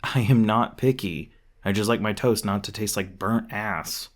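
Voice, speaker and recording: A man performs a gruff, defensive monster hunter in an intimate ASMR roleplay drama. His voice sits fairly low. He talks casually and swears, hesitating awkwardly as his secretly caring side shows through. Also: measured pace. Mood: awkward small talk.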